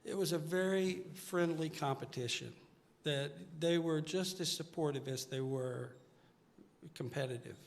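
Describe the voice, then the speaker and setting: A man's voice: fairly high pitch, unhurried. Reflective older man in a reverberant hall. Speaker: singer-songwriter guest. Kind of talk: casual onstage interview chat. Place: stage of a live music show. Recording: reverberant hall, music behind.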